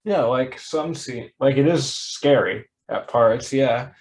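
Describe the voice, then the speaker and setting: A man's voice: mid-range voice, takes it slowly. A young man hosting a casual interview, easygoing and leaning on lots of "like" fillers. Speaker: podcast host.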